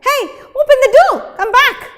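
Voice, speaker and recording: A woman storyteller voicing a jealous, hot-tempered village husband who shouts his demands in a folktale. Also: extremely high pitch, moderate pace, voice pushed up. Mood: angry, suspicious. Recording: reverberant hall sound.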